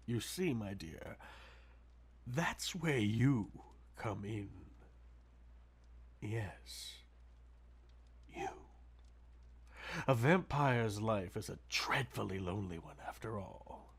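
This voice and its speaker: Casting: male actor as possessive, sadistic vampire for ASMR audio drama; silky, taunting, slow delivery with dramatic swings in pitch. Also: very animated, exaggerated voice. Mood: smooth, bargaining, then seductive, possessive. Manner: theatrical, silky, mocking drawl with breathy whispers and endearments. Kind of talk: ASMR audio roleplay, intimate dramatic monologue.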